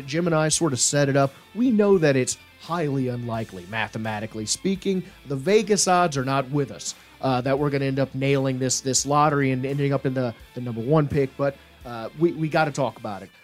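A man hosting a sports podcast in a studio, talking conversationally and somewhat haltingly with enthusiastic emphasis. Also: mid-range voice, lively intonation, soft background music. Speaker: podcast host. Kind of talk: lively sports podcast discussion.

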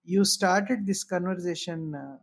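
A man hosting a podcast, asking measured questions. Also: sits high, slightly rough. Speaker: podcast host. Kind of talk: interview questions.

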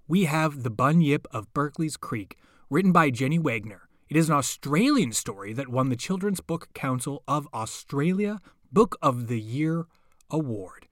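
A man's voice: medium pitch, no room sound, clean studio sound. A man hosting a podcast, reading a scripted introduction. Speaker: podcast host.

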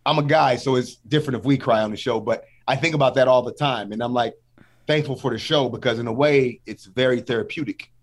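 A middle-aged man talking as a podcast guest in casual chat, animated and emotional, quick to laugh. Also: medium pitch.

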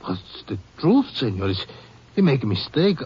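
Evasive, swooping, husky Mexican man. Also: medium pitch, sing-song. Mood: protesting innocence. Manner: halting, protesting, broken English. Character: evasive Mexican man, a smuggler feigning innocence, pleading. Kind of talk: old radio drama acting. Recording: dull vintage radio recording.

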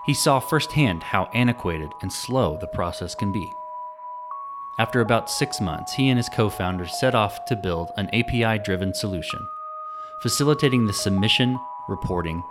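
Male podcast host, lowish voice, polished delivery, music bed. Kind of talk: scripted intro then interview questions.

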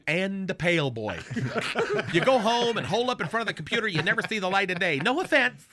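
A man's voice: high voice, sing-song, fast talker, sharp tone. A man hosting a radio comedy show, delivering a teasing, sarcastic roast. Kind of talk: comic roast monologue. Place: radio studio with laughing cohosts.

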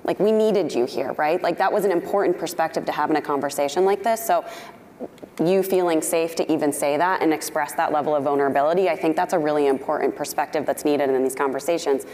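A woman's voice: low voice, quick pace, a little mellow. A woman moderating a panel discussion, upbeat and outgoing as she puts questions to the speakers. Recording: hall reverb with faint noise.

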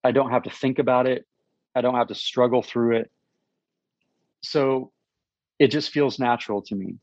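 A man in his fifties, a musician answering interview questions in an earnest, thoughtful way, often pausing and restarting. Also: mid-range voice, steady tone, darkish tone, no room sound. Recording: slightly dull recording.

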